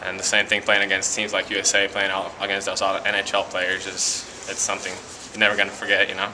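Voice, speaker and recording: A young man from Latvia with a Latvian accent, a college hockey player giving a halting post-game interview full of fillers and restarts in an arena hallway. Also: sits low, monotone, a crowd in the background, low-quality recording. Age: young adult.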